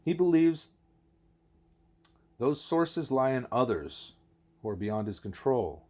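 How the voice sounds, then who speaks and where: A man voicing a wise, patient male teacher in an audiobook, speaking in a deliberate, formal, explanatory way. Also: mid-range voice, big pitch swings, a little mellow. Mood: patient, instructive. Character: wise, patient teacher creature Ishmael, male, measured and didactic.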